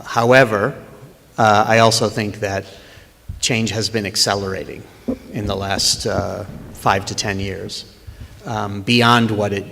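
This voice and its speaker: Gravelly-voiced male moderator, echoey hall. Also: lowish voice, fairly bright tone. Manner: halting, many fillers. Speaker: panel moderator. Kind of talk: conference panel talk. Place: conference hall. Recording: reverberant hall, faint room noise.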